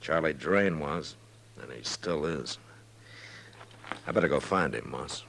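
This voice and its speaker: Very deep-voiced weary frontier marshal. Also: male voice, expressive, a little slow, gravelly, heavily acted. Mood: thoughtful. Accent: American. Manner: laconic, dry, authoritative. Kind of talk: 1950s radio drama acting. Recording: faintly noisy old radio recording.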